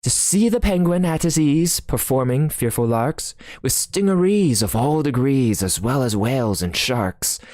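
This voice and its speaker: A man's voice: mid-range voice, expressive, unhurried, hardly any pauses, a little mellow, no echo, clean studio sound, pitched above the performer's own voice, lightly acted. A man voicing a jaunty, boastful storybook penguin sailor, theatrically singing comic sea songs.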